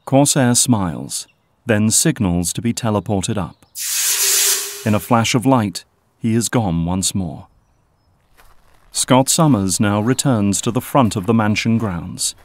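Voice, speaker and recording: A man narrating an audio drama in a measured, scripted style. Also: on the low side, no room sound.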